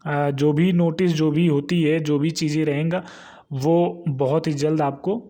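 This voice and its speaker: A man's voice: a little high, steady tone, measured pace, dark tone. A man giving an informal, instructional explainer, repeating points and often checking that listeners follow. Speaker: exam-news channel host. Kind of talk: informal explainer of recruitment notices.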